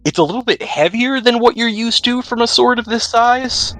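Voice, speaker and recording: A man's voice: very high-pitched, normal speed, a bit bright. A man acting as game master, describing scenes and rules in a descriptive, conversational storytelling way. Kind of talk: narrating a tabletop role-playing game. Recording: noticeable background noise.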